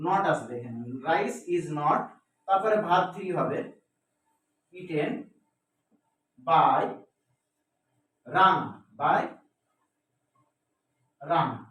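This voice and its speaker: High-pitched male teacher in echoey room. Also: fairly bright tone. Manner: didactic, asks and answers own questions, repeats key words. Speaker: English grammar teacher. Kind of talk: step-by-step classroom lesson. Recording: very echoey room, distant microphone.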